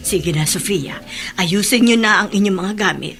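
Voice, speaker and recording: A woman's voice: mid-range voice, moderate pace, a bit bright, faint music behind, natural voice. A woman acting in a radio drama as a weary, kind old housekeeper, earnest and solemn, with a Filipino accent. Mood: urgent, caring. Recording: background noise and ambience.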